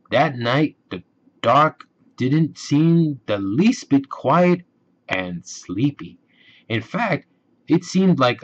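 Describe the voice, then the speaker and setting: Male storybook narrator. Unhurried, gentle and very expressive, on a slightly dull recording. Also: mid-range voice. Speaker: children's storybook reader.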